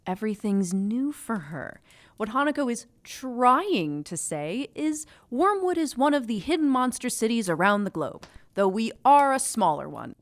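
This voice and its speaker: A woman's voice: a little high, very animated, unhurried. A woman playing an eager, officious and self-conscious young witch with a chipper, theatrical manner in an audio drama.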